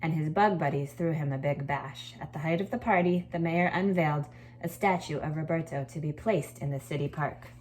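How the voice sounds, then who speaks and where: A woman with a low-pitched voice speaks as a teacher to her pupils. Her delivery suits reading a picture book aloud and explaining schoolwork to children. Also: a little fast, darkish tone, a little hiss or hum, poor audio. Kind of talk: expressive storybook read-aloud, then informal assignment explanation.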